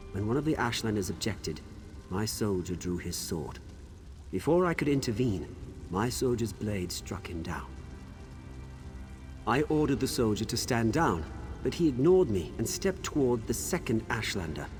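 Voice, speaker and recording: Reverberant, low actor as exiled elf officer. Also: male voice, a little mellow, close to an everyday voice. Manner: grave, measured storytelling. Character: exiled dark elf officer, solemn and regretful. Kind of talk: dramatic monologue in fantasy video game. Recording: long reverb like a ghostly message.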